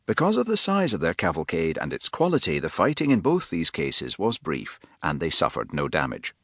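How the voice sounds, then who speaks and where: A man with a very low voice reads a novel with a measured, expressive delivery. His pitch moves in a lively way rather than staying flat. The studio sound is dry, close and free of room echo. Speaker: audiobook narrator. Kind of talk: scripted narration.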